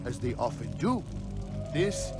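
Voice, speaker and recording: An actor playing a proud, scornful book-burning zealot in a video game, declaiming like a preacher. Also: male voice, medium pitch, sing-song, a little slow, over loud music. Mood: scornful lecturing. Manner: declamatory, preaching, rhetorical questions. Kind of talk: dramatic video game acting.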